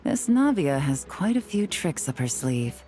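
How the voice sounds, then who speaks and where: A woman playing a composed, wry detective agent in a video game, speaking in a cool, measured, dryly sly way. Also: deep voice, sing-song, warm tone, soft background music. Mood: impressed, wry. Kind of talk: video game character dialogue.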